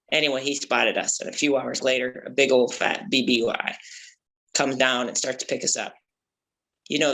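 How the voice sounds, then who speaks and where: A grizzled male shark hunter acted out by a table-read performer rambles boastfully through his lines. The voice is mid-pitched with a forceful, bright edge, suited to a comic stage musical. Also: gravelly, low-quality recording. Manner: boastful, rambling war-story telling.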